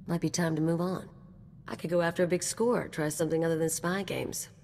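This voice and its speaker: A woman's voice: fairly low pitch, level tone, brisk, darkish tone, long reverb tail, a little hiss or hum, lightly acted. An actress playing a brash, swaggering anarchist mercenary woman in a video game, with casual, teasing, slangy talk. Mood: breezy, boastful. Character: brash, cocky female anarchist mercenary companion.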